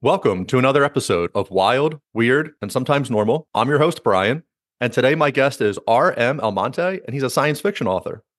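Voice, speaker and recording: A man's voice: mid-range voice, animated, a little fast, dry recording, studio quality. A man hosting a podcast, reading an upbeat scripted intro and welcoming his guest. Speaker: podcast host.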